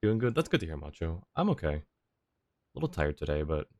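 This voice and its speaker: Low-voiced woman streaming a game. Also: sing-song, a little fast, a little mellow, no room sound. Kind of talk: casual game livestream commentary. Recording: game music behind the voice.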